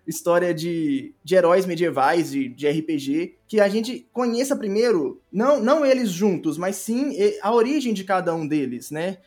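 A Brazilian man, a podcast guest explaining his creative work in an informal, halting way. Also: high voice, animated, darkish tone. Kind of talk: casual interview chat. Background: faint music.

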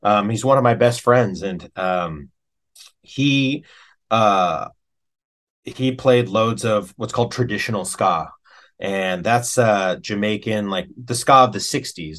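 A middle-aged American man talking casually as an interview guest, telling stories like a working musician, with pauses and emphasis on key words. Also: on the low side, fairly bright tone. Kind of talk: casual conversational storytelling.

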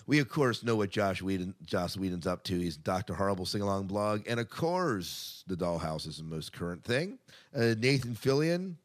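A man's voice: low-pitched, very animated, normal speed. An American man co-hosting a podcast, chatting casually through entertainment news. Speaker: podcast co-host.

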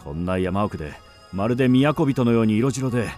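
Radio drama role: male actor as blunt, superstitious ancient warrior, coarse rustic speech, very animated pitch, music underneath. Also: brisk, close to an everyday voice. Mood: ominous, wary. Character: rough, wary, superstitious warrior of old Japan.

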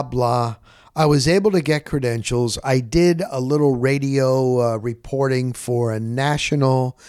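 A middle-aged man telling stories casually as a sportscaster, rambling and candid, with animated, salty talk and repeated phrases for emphasis. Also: mid-range voice, dry recording, studio quality. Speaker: sportscaster and podcast host.

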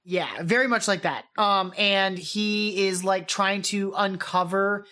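A woman's voice: medium pitch, steady tone, takes it slowly, pushed and bright. A woman co-hosting a podcast, chatting casually and enthusiastically. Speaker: podcast co-host. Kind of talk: casual chat.

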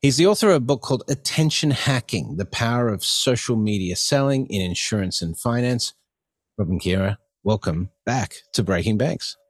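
A man's voice: medium pitch, lively intonation, normal speed, breathy edge, no room sound, studio quality. A man hosting an interview podcast in a conversational, discursive way. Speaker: podcast host.

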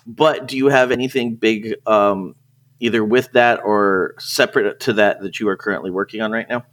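A man with a slightly low voice hosts a podcast interview. He talks in a casual, conversational way, as an interviewer chatting with a guest. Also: fairly even intonation, moderate pace, a bit bright.

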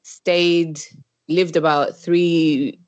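A woman's voice: deep voice, level tone, very slow, dry recording, dull audio. A woman from Zimbabwe who grew up partly in the UK, answering as an interview guest in a conversational way and rephrasing as she thinks aloud. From Zimbabwe, moved to the UK around age ten. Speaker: podcast guest, travel brand founder.

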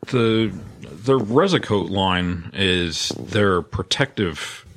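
A man's voice: deep voice, fairly bright tone. A man co-hosting an industry podcast, talking through trade news in a casual style. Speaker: podcast co-host.

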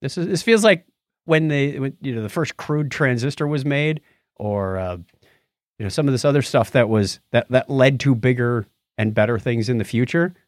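A man's voice: mid-range voice, normal speed, dry recording, clean studio sound. A man hosting a podcast, chatting casually and jokily about tech news with theatrical, self-deprecating announcing. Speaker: podcast host.

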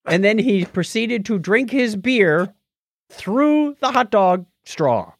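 Animated man in casual podcast chat. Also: high-pitched, takes it slowly, no echo, studio quality. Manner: jokey, chuckles often. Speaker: podcast co-host. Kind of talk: casual tech news chat.

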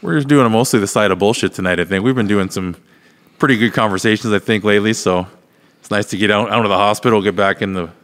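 A man co-hosting a podcast in casual chat, easygoing and quick to agree. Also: on the low side, a bit bright, long reverb tail. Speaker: podcast co-host.

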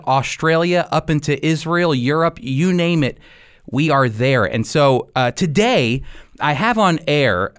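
A man with a smooth, resonant voice hosts a faith-based radio program. His pitch sits in the middle for a male speaker, and his delivery is earnest, with plenty of emphasis. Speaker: radio show host.

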